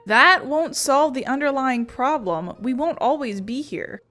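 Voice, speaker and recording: Casting: level-headed young game heroine, high voice, measured and calm. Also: female voice, over music. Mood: thoughtful. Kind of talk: video game voice acting.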